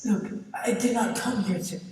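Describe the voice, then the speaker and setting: Casting: woman as indignant, desperate old suitor, soft dark tone, theatrical, recorded at a distance in an echoing theater. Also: mid-range voice, unhurried, natural voice. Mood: wary. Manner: theatrical, questioning. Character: aging lovesick Mexican man, indignant, gullible, desperate. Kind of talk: stage play acting. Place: large indoor theater stage, distant camera. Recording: echoey theater stage from far off.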